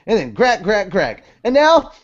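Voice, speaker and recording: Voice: mid-range voice, wildly swooping pitch, slow, lo-fi sound. A podcast host bantering casually and comically during an amateur manga dub, with a playful, theatrical way of talking. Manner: playful, theatrical, often breaks into silly voices.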